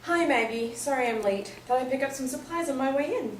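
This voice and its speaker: A woman's voice: high voice, animated, fairly quick. An actress in a staged play reading playing a dippy but well-meaning woman in her late twenties, breezy, guileless and flirtatious. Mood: breezy, scatterbrained. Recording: echoey stage, distant mic, noisy room.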